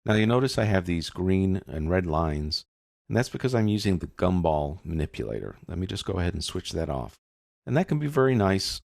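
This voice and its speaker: A man with a deep voice and a noticeable fry explains software steps as an instructor. His delivery is informal and explanatory, like someone walking a learner through each click. The recording is dry, with no room sound. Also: dry recording. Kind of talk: casual step-by-step screen tutorial narration.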